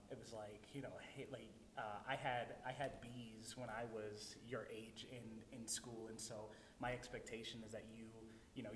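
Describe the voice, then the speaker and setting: A man of twenty-seven, a university staff coordinator, talking hesitantly on a panel with many restarts. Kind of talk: casual panel discussion. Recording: echoey room, long reverb, rumble.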